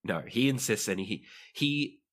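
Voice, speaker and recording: Male game master, low pitch, improvised descriptive narration, tabletop fantasy podcast. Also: animated, a little slow, fairly bright tone.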